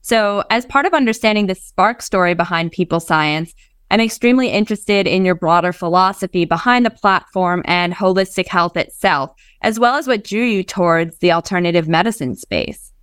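A woman hosting an interview, asking prepared questions in a measured, polite way. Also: on the low side, fairly bright tone, clean tone, no room sound, clean studio sound. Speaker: podcast host interviewer.

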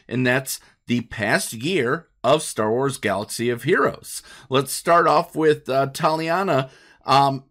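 A man hosting a casual podcast interview, talking in a halting way and rephrasing his questions as he goes. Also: medium pitch, a bit bright. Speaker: podcast host.

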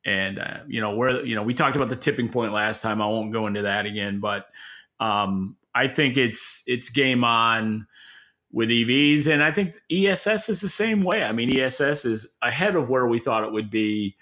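A man's voice: medium pitch, normal speed, sharp tone. A man speaking as a podcast co-host, giving casual, opinionated market commentary in an emphatic way. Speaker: podcast co-host and industry analyst.

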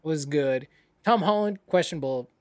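A man with a fairly high voice talks films in loose, animated conversation. He fills his sentences with "like" and restarts. His pitch leaps up when he gets excited. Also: moderate pace. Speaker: podcast co-host. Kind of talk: casual chat about films.

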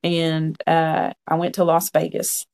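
Gravelly-voiced man in his forties. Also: fairly high pitch, monotone, slow pace, darkish tone. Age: 40s. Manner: frank, earnest, quotes own past thoughts. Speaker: podcast guest. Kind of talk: conversational storytelling.

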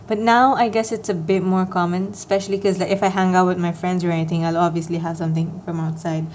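A young woman hosting her own podcast, chatting casually and rambling with lots of fillers. Her voice is soft and soothing, a little raspier than usual this morning. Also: fairly low pitch, little pitch movement, quick pace, slight background noise. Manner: rambling, filler-heavy, self-deprecating. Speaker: podcast host. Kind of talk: casual solo podcast chat.

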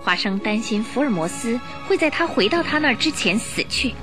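A woman's voice: fairly high pitch, lively intonation, a little fast. A woman reading dramatic scripted narration for a radio play. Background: music.

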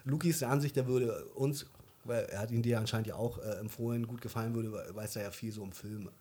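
A man's voice: medium pitch, steady tone, fast, dark tone. A young man co-hosting a casual podcast, rambling with many fillers and restarts. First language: German. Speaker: podcast co-host. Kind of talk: casual chat.

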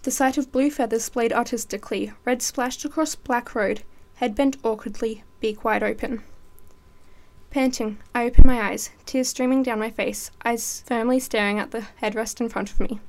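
Teenage female student writer, higher-pitched, dramatic first-person narrative reading. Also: steady tone, moderate pace, darkish tone.

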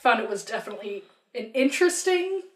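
High-voiced woman in a roomy space. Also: very animated, a little fast, a bit bright. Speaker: podcast co-host. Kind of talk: casual film discussion. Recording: roomy echo.

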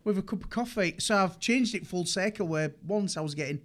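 A man's voice: sits high, a little fast, fairly bright tone. A man co-hosting a podcast, laid-back and colloquial, stumbling over the starts of his sentences. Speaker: podcast co-host. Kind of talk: casual chat.

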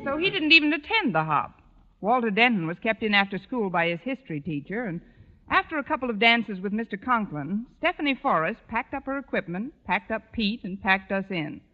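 An actress playing a wry, deadpan schoolteacher in a 1940s radio comedy. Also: female voice, mid-range voice, fairly quick, close to an everyday voice. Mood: dry storytelling. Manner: deadpan, wisecracking. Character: wry, sardonic female high school English teacher, adult. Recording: old radio broadcast, muffled, long reverb.

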